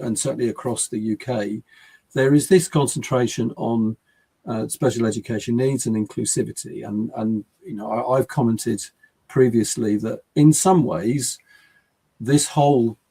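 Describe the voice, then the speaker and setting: A man hosts the conversation in a mellow, husky voice. He picks his way through his thoughts haltingly, with fillers and self-corrections along the way. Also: medium pitch. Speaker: host. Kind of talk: podcast hosting.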